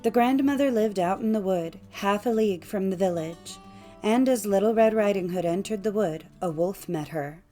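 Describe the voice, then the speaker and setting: A woman reads a fairytale as an audiobook narrator. Her telling is measured and expressive, with faint music underneath. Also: medium pitch.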